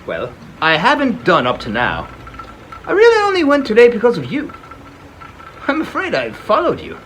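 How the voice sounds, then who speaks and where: A man playing a smitten, forward young man in a dramatized novel reading, earnest and flattering. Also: very high-pitched, moderate pace, heavily acted. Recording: clear background noise.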